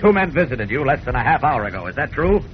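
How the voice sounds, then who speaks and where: An actor playing a steady, authoritative superhero who gives clipped orders in a 1940s radio drama. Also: male voice, mid-range voice, quick pace, natural voice. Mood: stern. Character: commanding, steady heroic male superhero. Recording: muffled, noisy old radio recording.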